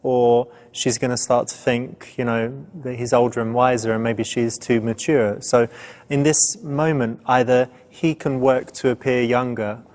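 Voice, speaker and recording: Man, mid-pitched, dating coach, casual commentary, conversational advice. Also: steady tone, measured pace, darkish tone.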